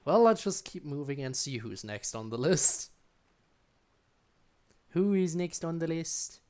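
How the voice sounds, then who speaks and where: A man's voice: fairly high pitch, animated, unhurried, darkish tone. A man streaming a game, giving casual, wry commentary and musing aloud as he plays. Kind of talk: casual live gameplay commentary.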